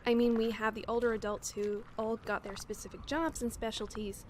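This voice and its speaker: A woman's voice: a little high, little pitch movement, a little fast, slight background noise, close to an everyday voice. An actress playing a restless twenty-five-year-old woman survivor in an audio drama, casual and questioning. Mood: frustrated, adrift. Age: 20s.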